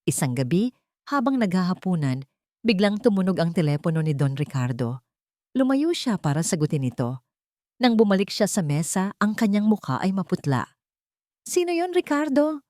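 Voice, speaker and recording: A woman's voice: lowish voice, sing-song, moderate pace, warm tone, no room sound, clean studio sound. A woman reading scripted, dramatic story narration.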